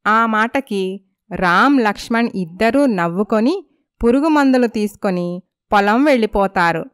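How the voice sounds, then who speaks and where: A woman's voice: mid-range voice, lively intonation, unhurried, smooth, no room sound, clean studio sound. A woman narrating a scripted moral story.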